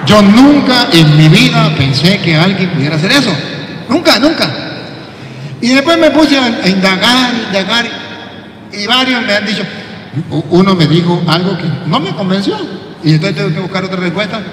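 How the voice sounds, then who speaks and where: A middle-aged man, a priest, preaching an animated sermon into a handheld mic. He acts out quotes and swings from soft to shouting. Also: high-pitched, moderate pace, a little hiss or hum. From Venezuela. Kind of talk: lively sermon. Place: large church, indoors. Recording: echoey church from a distance.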